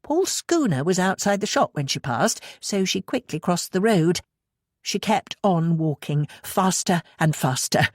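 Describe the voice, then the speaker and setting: Woman audiobook narrator, lowish voice, very lively intonation, dry close recording. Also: unhurried, dry recording. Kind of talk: scripted dramatic fiction narration.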